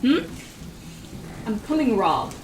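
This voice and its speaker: Casting: actress as teasing young female cook, animated scolding, audio drama, roomy distant sound with rain behind. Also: fairly high pitch, slow. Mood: chiding, teasing. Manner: scolding, playful. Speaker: voice actor. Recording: distant, echoey, noisy with rain ambience.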